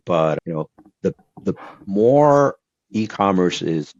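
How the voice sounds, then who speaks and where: Very deep-voiced man from Brazil. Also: slow, no room sound, highs cut off. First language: Portuguese. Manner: earnest, animated advice-giving with frequent fillers and restarts. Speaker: guest. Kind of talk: casual interview talk.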